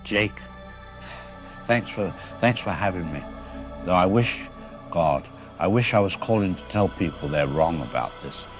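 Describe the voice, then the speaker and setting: A man playing a grave, alarmed scientist, giving halting, emphatic analysis in an audio drama interview. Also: low voice, expressive, lo-fi sound, music in the background. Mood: grave, worried. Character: grave, haunted male scientist, alarmed expert caller. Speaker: scientific analyst calling a radio show.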